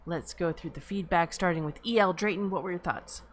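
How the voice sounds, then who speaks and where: A woman's voice: fairly low pitch, fairly quick. A woman moderating a casual online feedback discussion as host.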